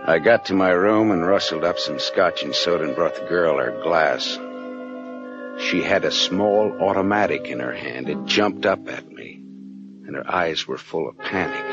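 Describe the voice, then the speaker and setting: A tough private detective in a vintage radio drama. He has a deep voice and a terse, wry, sardonic delivery that sounds world-weary. The old recording is muffled and lo-fi. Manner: terse, hard-boiled, sardonic. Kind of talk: 1940s radio drama, first-person narration and dialogue.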